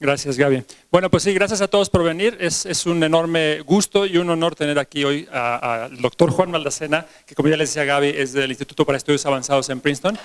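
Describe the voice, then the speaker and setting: A man's voice: on the high side, quick pace, hardly any pauses, clean tone. A man giving a formal introduction of a guest speaker in an auditorium, admiring, with frequent "eh" fillers. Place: university auditorium.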